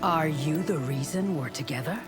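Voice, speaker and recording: An actress voicing a proud, devout warrior guard in a video game, curt and challenging. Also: female voice, deep voice, normal speed, natural voice. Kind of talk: video game character dialogue. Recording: game dialogue under loud music.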